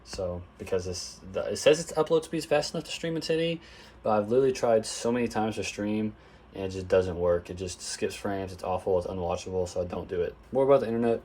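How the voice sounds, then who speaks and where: A young man, hoarse, talking casually and swearing as he shows his gaming setup in a phone-recorded vlog. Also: on the low side, quick pace, warm tone, slight background noise. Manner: casual, swears often, lots of fillers. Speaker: gaming vlogger. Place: bedroom at home. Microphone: phone camera.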